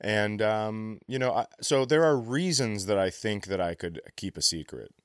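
A man with a deep voice banters as a comedy podcast co-host. His delivery is conversational and halting, slipping into imagined bits of dialogue. The recording is dry, with no audible room. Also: lively intonation, clear, resonant tone, no echo. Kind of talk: casual comic banter.

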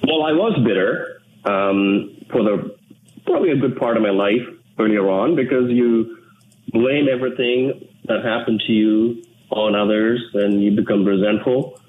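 A man from Sri Lanka, an English speaker, talking in an earnest, measured way as an interview guest. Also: on the low side. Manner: measured, earnest, with frequent restarts. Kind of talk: reflective interview talk. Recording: tinny phone line with faint noise.

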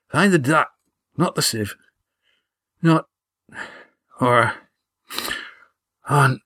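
A man voicing a gravely wounded man in an audiobook, breathy, labored and halting, gasping in pain, urgent but fond. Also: mid-range voice, sharp tone, dry recording, studio quality, pitched above the performer's own voice, heavily acted.

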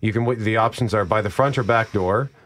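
A man's voice: on the low side, a little fast, a bit bright. A man with a Canadian accent hosting a radio show, bantering and reading items aloud.

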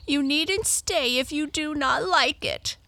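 A woman's voice: sits high, slow pace, creaky, sharp tone, no echo, voice pushed up, heavily acted. A woman voicing a petulant, whiny, tearful little girl in a children's story.

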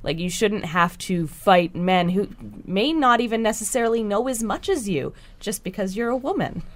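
A woman's voice: mid-range voice, lively intonation, moderate pace. A woman speaking earnestly and casually as a panelist in a radio discussion. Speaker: radio talk show panelist.

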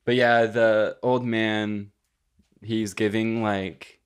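A man's voice: fairly low pitch, flat delivery, slow, clean tone. Young man riffing in sweary slang. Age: young adult. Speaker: podcast co-host. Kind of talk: casual comedic chat.

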